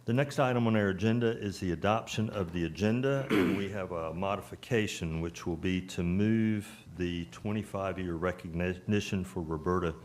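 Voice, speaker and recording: Deep-voiced man chairing a town meeting. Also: moderate pace, few pauses, a little mellow, a little hiss or hum.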